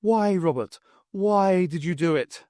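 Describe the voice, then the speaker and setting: A man voicing the ghost of a dead woman with a sing-song Scottish Highland accent, speaking through a child and accusing her husband. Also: a little slow, dark tone, dry recording, studio quality. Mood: sorrowful accusing. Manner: accusing, sorrowful. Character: grieving pious Highland wife's ghost, accusing.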